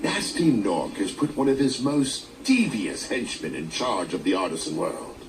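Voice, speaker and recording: A man's voice: on the low side, very animated, moderate pace. A man voicing a flustered, forgetful dragon elder. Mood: warning. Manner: flustered, trailing off. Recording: echoey distant game audio with music.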